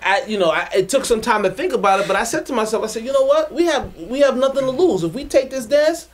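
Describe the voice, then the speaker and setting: A man's voice: very high-pitched, lively intonation, fast talker. A man from a sound system talking casually in an interview, reflective and hesitant, with many fillers.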